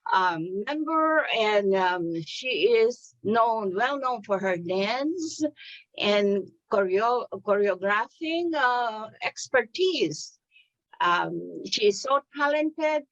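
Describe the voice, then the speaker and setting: A woman hosting a TV interview, introducing guests in a chatty, hesitant way with many ums and restarts. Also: a little high. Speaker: TV interview host. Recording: slightly dull, lo-fi sound.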